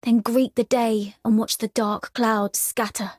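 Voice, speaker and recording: A woman's voice: fairly high pitch, level tone, measured pace, darkish tone, a little hiss or hum, close to an everyday voice. A woman voicing a steadfast, hopeful leader in a video game, composed and formal. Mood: solemn hope. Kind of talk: video game character voice lines.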